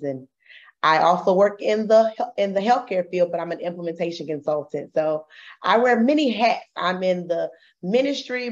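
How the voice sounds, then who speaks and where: A woman guest on a podcast with a notably low voice, speaking in a thoughtful, unhurried way with a few hesitant pauses. Her recording sounds somewhat dull and muffled. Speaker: interview guest, ministry volunteer and life coach. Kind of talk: casual interview chat.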